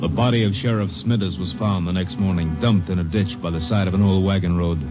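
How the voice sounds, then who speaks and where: An actor playing a sober announcer narrating a crime case in a 1950s radio drama, on a muffled old recording. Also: male voice, deep voice, steady tone, fairly quick, over loud music, close to an everyday voice. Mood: grave.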